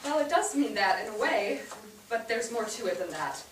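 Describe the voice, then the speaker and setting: Actress as firm weary mother, higher voice, gentle explaining, period family stage play, roomy distant sound. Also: animated, moderate pace. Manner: gentle but firm, matter-of-fact maternal explaining. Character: firm, weary mother breaking hard news to her sons. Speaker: stage actor. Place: indoor theatre stage, recorded from afar. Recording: roomy theatre, distant mic, hissy background.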